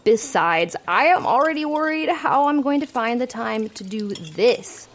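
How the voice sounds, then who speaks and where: A woman's voice: fairly high pitch, animated, unhurried, a little hiss or hum. A woman playing an earnest, organized young college student who takes charge, in an audio drama. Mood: wry exasperation. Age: young adult.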